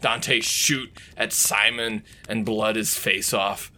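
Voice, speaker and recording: A man's voice: lowish voice, fairly even intonation, takes it slowly, bright, forceful tone, slight background noise, heavily acted. A man playing the earnest narrator of a clumsy action story, reading in a stilted, stumbling way. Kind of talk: dramatic reading of amateur fiction.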